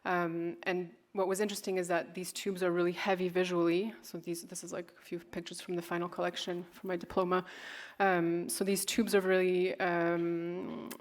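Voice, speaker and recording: A woman's voice: on the low side, little pitch movement, normal speed, hardly any pauses. A woman in her thirties, who grew up in California, presents her work as an artist and fashion designer in a festival talk, speaking unhurriedly and hesitantly with many fillers and restarts.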